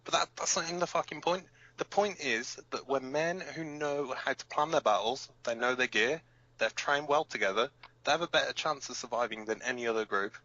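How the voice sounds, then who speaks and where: A man's voice: medium pitch, a little fast, bright, forceful tone, lo-fi sound, close to an everyday voice. A man playing a hot-headed, protective resistance fighter who hosts a rebel radio broadcast in an audio drama, blunt, emphatic and given to swearing. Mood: indignant, heated.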